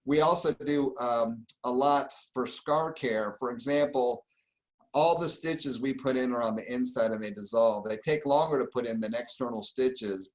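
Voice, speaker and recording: A man's voice: mid-range voice, low-quality recording. A middle-aged man from Argentina, a surgeon being interviewed, explaining things in an easygoing, conversational way. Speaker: plastic surgeon, guest. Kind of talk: casual interview.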